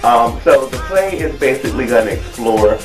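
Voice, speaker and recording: A man speaking as a host, giving an unhurried, conversational spoken introduction. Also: medium pitch, lively intonation. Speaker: host introducing a stage play. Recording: roomy echo, faint music behind.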